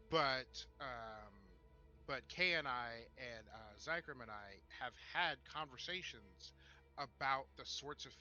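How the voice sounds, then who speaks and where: A man chats as a co-host in a very bright, forceful voice of middling pitch. His delivery is halting and self-deprecating, starting and restarting. The sound is slightly lo-fi, with faint music underneath. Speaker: podcast co-host. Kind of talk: casual discussion with anecdotes. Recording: dull narrow-band mic, music underneath.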